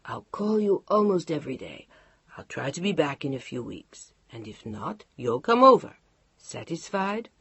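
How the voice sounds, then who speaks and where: A weary middle-aged businessman answers in a lowered, clipped, impatient voice, as an audiobook reader puts him on. The sound is husky and dry, close to the mic. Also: big pitch swings, normal speed, a bit bright, dry recording, full character voice. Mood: exasperated. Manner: curt, sighing, impatient. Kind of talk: audiobook character voice.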